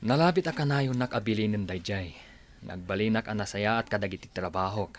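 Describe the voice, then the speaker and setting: Man acting low-voiced, earnest attorney. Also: fairly quick, a little hiss or hum, lightly acted. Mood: respectful, earnest. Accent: Ilocano. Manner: polite, deferential. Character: respectful, earnest male attorney, governor's chief of staff. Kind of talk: radio drama acting.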